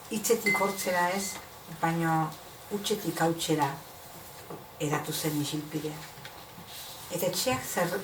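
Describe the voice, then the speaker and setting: Low-pitched woman in an echoey room. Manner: hesitant, self-correcting, searching for words. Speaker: poet presenting and reading own work. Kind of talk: informal book presentation and poetry reading. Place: bookshop event. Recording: echoey room, distant noisy mic.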